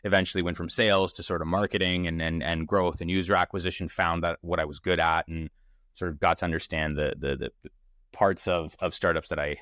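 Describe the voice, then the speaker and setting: A man of thirty speaks in a low voice, casual and energetic. He thinks aloud as a founder on a podcast, restarting often. The sound is dry and close. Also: brisk, no room sound. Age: 30s. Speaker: startup founder, podcast guest. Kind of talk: casual interview conversation. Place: Toronto.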